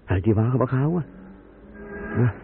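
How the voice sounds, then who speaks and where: A grumbling lorry driver mutters to himself in a medium-pitched voice, making excuses as in a radio play monologue. The old recording is very tinny, with plain noise behind him. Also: male voice, expressive, brisk, gravelly. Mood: gloomy, aggrieved.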